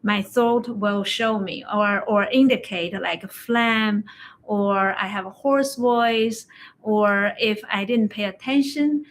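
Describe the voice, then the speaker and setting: A woman acupuncturist, a non-native English speaker whose first language is Chinese, chatting in a slow, halting way about health, often telling stories about patients. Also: medium pitch. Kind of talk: casual health chat. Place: livestream conversation.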